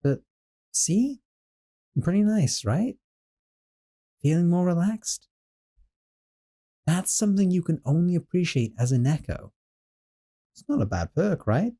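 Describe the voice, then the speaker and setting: A doting young sorcerer roommate, as a man plays him, soft-spoken and a bit flustered, his mellow voice rising and falling in lively swings. He talks gently and fondly in an audio roleplay. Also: on the high side, heavily acted.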